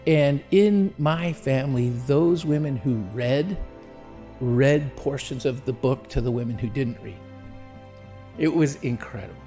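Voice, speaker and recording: A man's voice: mid-range voice, measured pace, dark tone, faint music behind. A man speaking enthusiastically in a tribute interview, with frequent "you know" fillers. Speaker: documentary interviewee.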